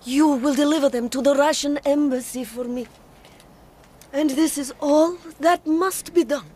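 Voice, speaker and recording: An actress playing a proud, resolute, tragic exiled wife in a radio drama, speaking in a solemn, deliberate, dramatic way. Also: female voice, sits high, darkish tone. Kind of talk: BBC radio drama acting.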